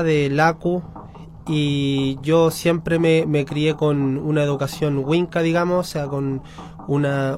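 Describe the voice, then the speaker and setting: A man, a radio guest telling his story in a reflective way with many pauses. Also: fairly high pitch, a little mellow. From Chile. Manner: reflective, pauses with frequent fillers. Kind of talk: radio interview, personal story.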